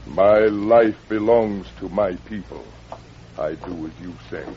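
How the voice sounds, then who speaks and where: A deep, solemn, defeated warrior chief. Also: male voice. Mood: resigned, solemn. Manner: halting broken English, grave. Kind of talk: old-time radio western acting. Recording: muffled, noisy vintage radio recording.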